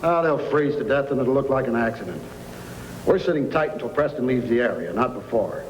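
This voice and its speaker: An actor playing a greedy, irritable, cold-blooded crook boss in an old-time radio drama. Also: male voice, mid-range voice, animated, brisk, natural voice. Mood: cold scheming. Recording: echoey, faintly noisy old radio recording.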